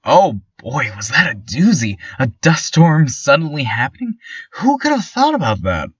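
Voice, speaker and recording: Man acting nervous small-town radio host, bright forceful tone, stammering, polite, obedient, surreal radio drama, dull lo-fi recording. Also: on the high side, wildly swooping pitch, normal speed, husky, no room sound, distant mic, heavily acted. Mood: chatty, delighted. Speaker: local radio station host. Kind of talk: deadpan surreal radio show parody, scripted monologue.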